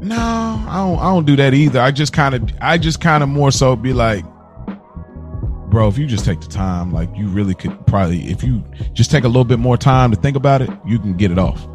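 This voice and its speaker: A man speaks as a podcast co-host in a casual, conversational style. He explains himself emphatically, repeating phrases to drive points home, in a mid-pitched voice with music softly behind him. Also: lively intonation, a little fast. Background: background music.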